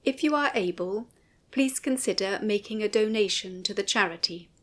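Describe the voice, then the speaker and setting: A woman reads a scripted documentary commentary in a measured, formal way. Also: mid-range voice, a bit bright. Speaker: narrator and writer of an audio commentary.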